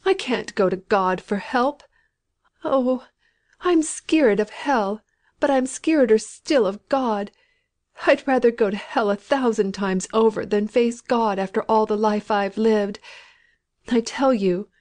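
A woman reading a storybook, voicing a frantic, terrified dying woman of about sixty who pleads in rough country speech. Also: fairly high pitch, a little slow, darkish tone, lightly acted. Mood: terrified pleading.

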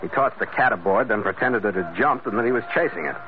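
An actor playing a tough sea captain with an American accent, narrating in clipped, matter-of-fact 1940s radio adventure style. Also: male voice, on the low side, quick pace, background noise, close to an everyday voice. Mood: dry, matter-of-fact. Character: terse, tough male sea captain narrating his log. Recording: old narrowband radio recording with loud music.

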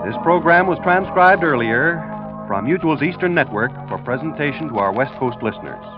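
A man's voice: on the high side, animated, normal speed. A man reading scripted copy as a 1940s radio announcer, polished and emphatic. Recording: muffled old broadcast with music.

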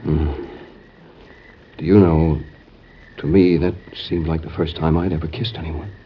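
An actor playing a gallant, teasing young gentleman suitor in classic American radio drama. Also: very deep voice, level tone, measured pace, husky, exaggerated voice. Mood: romantic. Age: young adult. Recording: muffled old radio recording, faint noise.

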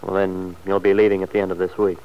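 An actor playing an earnest, apologetic married sergeant in an old radio soap, speaking in a hesitant, solicitous way. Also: male voice, deep voice, flat delivery, quick pace, close to an everyday voice. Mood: concerned, gentle. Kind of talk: vintage radio soap opera acting. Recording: dull, noisy old radio recording.

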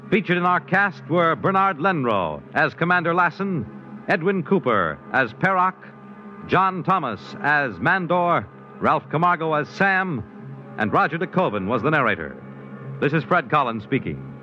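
A man's voice: fairly high pitch, a little hiss or hum. A man with an American accent giving formal, polished scripted announcements in a 1950s radio style. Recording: dull vintage radio recording.

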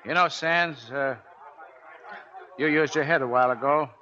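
An actor playing a gruff, commanding navy chief petty officer in a 1940s radio drama, blunt and terse. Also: male voice, medium pitch, moderate pace, a crowd in the background, natural voice. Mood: brisk, commanding. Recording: dull 1940s radio broadcast recording.

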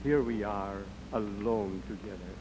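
An actor playing an amorous, easily exasperated newlywed husband with melodramatic delivery in a radio comedy. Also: male voice, lowish voice, fairly even intonation, measured pace. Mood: tender, amorous. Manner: melodramatic, swinging from cooing to bellowing. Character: amorous, exasperated, short-tempered male newlywed hotel guest. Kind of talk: 1940s radio comedy acting. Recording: muffled, hissy old radio broadcast.

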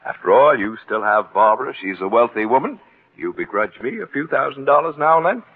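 An actor playing a smug, falsely genial middle-aged blackmailer in 1940s radio drama, with a suave, condescending delivery. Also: male voice, mid-range voice, very animated, brisk, slightly rough. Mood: smug, mocking. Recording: tinny, hissy old radio recording.

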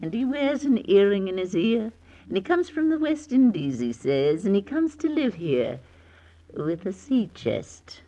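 A woman's voice: medium pitch, sing-song, measured pace, warm tone. An actress playing a gossipy, nosy middle-aged London landlady with a Cockney accent, theatrical and relishing in an old radio drama. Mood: gleeful, gossipy. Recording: dull vintage radio recording.

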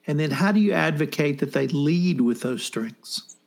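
A man hosting a podcast whose voice sits a little high with a gravelly edge. His questions are conversational and ramble, and he reworks them partway through. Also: animated, normal speed, a little mellow. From United States. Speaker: podcast host. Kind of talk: interview questions.

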